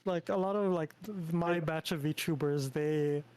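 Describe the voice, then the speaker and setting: Chatty Filipino man, fairly high voice. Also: a little slow, a little mellow, clean tone, low-quality recording. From Philippines. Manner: chatty, lots of fillers and restarts. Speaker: streamer and VTuber host. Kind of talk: casual collab stream chat.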